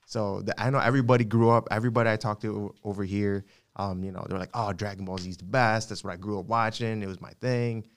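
Laid-back low-voiced man from the Philippines. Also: steady tone, fast, clean tone. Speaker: podcast guest. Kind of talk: casual chat.

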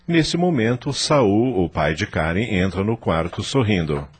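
A man's voice: lowish voice, expressive, unhurried, slight background noise. A man narrating a radio drama.

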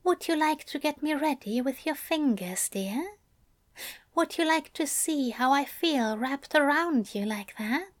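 Woman doing a male angel, soft murmur, sly, coaxing, higher than her own voice, slow, hushed. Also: animated.

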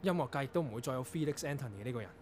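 An actor in a Cantonese radio drama playing a tormented young composer, curt and dramatic, with a Hong Kong accent. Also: male voice, mid-range voice, animated, racing, a little hiss or hum, lightly acted. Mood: bitter despair. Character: tormented young male composer, curt, anguished, losing control of his ears.

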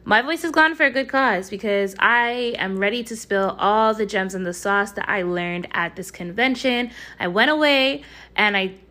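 A woman hosting a podcast, her voice hoarse from losing it, talking in a hyped, slangy way straight to her listeners. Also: mid-range voice, moderate pace, bright, forceful tone. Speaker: podcast host. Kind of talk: casual podcast chat.

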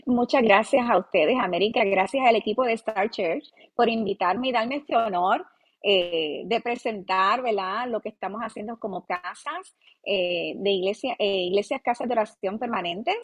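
A Puerto Rican woman in her late thirties talks as a guest pastor on a podcast. Her voice is husky and breathy, and she speaks earnestly and humbly, often pausing on "eh" as she gathers her thoughts. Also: mid-range voice, muffled recording. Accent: Puerto Rican Spanish. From Puerto Rico. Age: 30s. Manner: humble, earnest, with many "eh" fillers. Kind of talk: podcast interview.

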